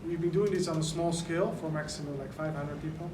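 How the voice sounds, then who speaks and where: A man's voice: a little high, fairly even intonation, quick pace. A man from the Netherlands, speaking earnestly at a press conference as an event organizer and explaining things step by step. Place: large indoor venue. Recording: echoey room, mic far off, noisy.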